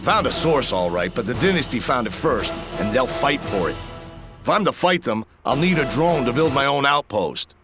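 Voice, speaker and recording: A man's voice: medium pitch, sing-song, moderate pace, close to an everyday voice. A man playing a wry, confident army major in a sci-fi game, speaking in a dry, informal way. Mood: guarded, dry. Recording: music behind the voice.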